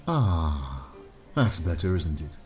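A man's voice: very deep voice, big pitch swings, slow pace, a little mellow, faint music behind, pitched below the performer's own voice, full character voice. A man voicing a soothing, friendly, disembodied political advert within a story. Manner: soothing, smooth salesmanship.

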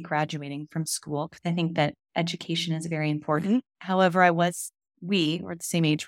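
A woman in her thirties, a real estate investor, talking upbeat and quick to laugh as an interview guest. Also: on the low side, no room sound, studio quality. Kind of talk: casual interview chat.

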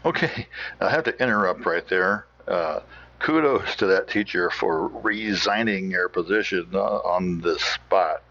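A man hosting a podcast, giving casual off-the-cuff commentary in a halting, musing way. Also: deep voice, sharp tone. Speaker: podcast host. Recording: slightly muffled sound.

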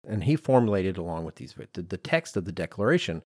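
A man hosting a podcast, explaining design history in an informal, conversational, animated storytelling way with acted-out asides. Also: deep voice, quick pace, husky, no echo, clean studio sound. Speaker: podcast host.

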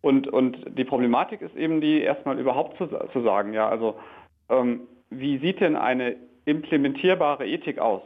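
A man explaining deliberately as an interviewed researcher, often adding small tag questions, over a thin line. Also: medium pitch. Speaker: academic researcher being interviewed. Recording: thin narrow phone-like line.

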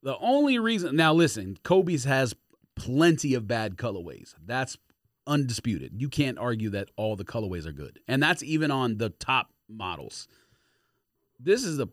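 A man's voice: medium pitch, a bit bright, no room sound. An American man co-hosts in casual, argumentative banter. His voice swings animatedly as he insists on his point, recorded close and dry. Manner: insistent, contradicting.

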